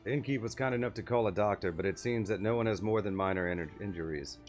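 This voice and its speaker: A man's voice: on the low side, fast talker. A man streaming a video game, reading its text aloud and adding casual offhand commentary. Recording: game music behind the voice. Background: video game music.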